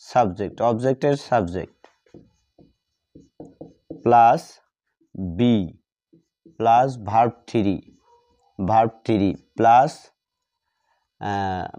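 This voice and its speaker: A man's voice: mid-range voice, slow, long pauses, dark tone, highs cut off. A man teaching a grammar lesson step by step, dictating in short, repeated phrases as he writes.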